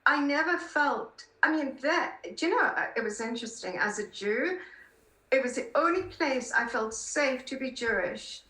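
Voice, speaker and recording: A South African woman in her fifties with a high, very bright, forceful voice. She answers interview questions conversationally and with emphatic warmth of feeling. The sound is roomy and echoing. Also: animated, normal speed. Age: 50s. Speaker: podcast guest, political columnist.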